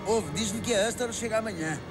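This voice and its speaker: A man's voice: high voice, a little fast, music in the background, natural voice. A man with a European Portuguese accent playing a grouchy, world-weary street-corner local in a comic dub, muttering complaints. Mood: peeved.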